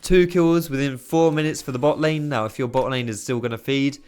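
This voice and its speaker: A man's voice, a little hoarse and worn from talking, narrates game footage in a quick, excitable play-by-play. He sits at a home desk mic, reacting to each big moment with loose, slangy enthusiasm. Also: medium pitch. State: hoarse, losing his voice. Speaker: video host. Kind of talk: gameplay commentary.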